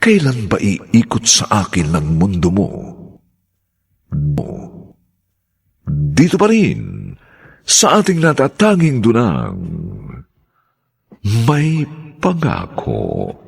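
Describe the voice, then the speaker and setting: A man with a Filipino accent and husky voice, giving solemn, theatrical scripted narration as a radio drama host. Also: fairly low pitch, wildly swooping pitch, soft background music. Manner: theatrical, solemn, drawn-out phrases.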